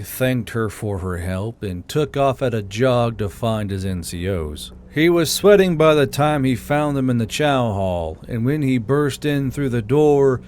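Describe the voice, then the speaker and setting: A man narrating an audiobook in a plain, unhurried way, then casually thanking channel supporters. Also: mid-range voice, a little mellow. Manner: plain, unhurried storytelling; casual and blunt outro.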